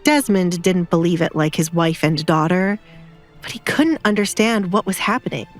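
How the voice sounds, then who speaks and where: A woman's voice: on the low side, normal speed. A woman narrator reading a scripted romance drama audiobook. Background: background music.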